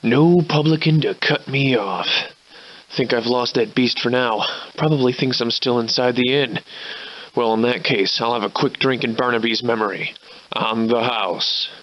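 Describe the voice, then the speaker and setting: A man's voice: medium pitch, moderate pace, lightly acted. A man voicing a surly, hard-drinking, grandiloquent survivor in a video game, with florid old-fashioned diction, in slightly muffled audio. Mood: weary, wry. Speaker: video game protagonist voice actor. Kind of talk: theatrical video game character dialogue.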